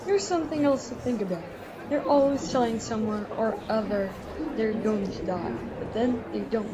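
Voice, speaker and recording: A woman's voice: a little high, normal speed, a crowd in the background, close to an everyday voice. A woman voicing a reassuring, earnest seventh-grade boy in an audio drama. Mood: encouraging.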